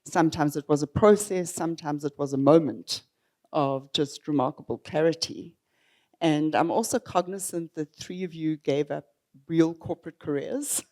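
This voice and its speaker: A woman's voice: sits low, moderate pace, mellow. A woman moderating a panel discussion, speaking hesitantly with frequent ums and restarts.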